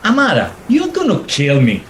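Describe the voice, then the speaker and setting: A man's voice: on the high side, wildly swooping pitch, normal speed, a little hiss or hum. A man playing a plaintive, doting Italian husband in a radio play, theatrical and reluctant. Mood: pleading, worried.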